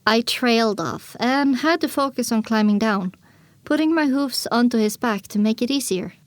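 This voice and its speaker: Lady voicing a young pony girl narrating her tale, earnest n measured, highish voice, dry close sound. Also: dry recording, lightly acted. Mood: attentive, measured. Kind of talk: scripted first-person audiobook narration.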